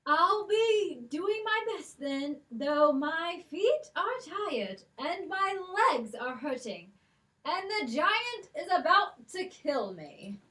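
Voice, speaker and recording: A woman's voice: extremely high pitch, big pitch swings, takes it slowly, a bit bright, exaggerated voice, poor audio. Molly, a woman playing a wry plucky heroine. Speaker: librarian puppeteer.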